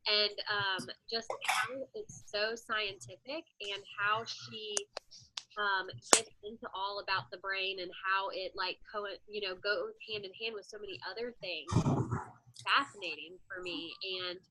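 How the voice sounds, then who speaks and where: A woman's voice: mid-range voice, unhurried, very noisy. A woman hosting a casual group call as a fitness coach, chatty and rambling with many fillers, still a bit congested from a head cold. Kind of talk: casual group video chat.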